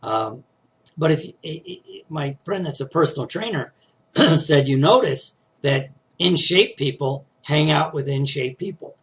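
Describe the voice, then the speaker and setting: A man's voice: on the high side, expressive, a little slow, a bit bright. A middle-aged man with a slightly scratchy voice, teaching success skills in a casual, conversational talk that he often punctuates with "right?". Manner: conversational, frequent "right?" and "you know" tags. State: scratchy voice, clearing throat.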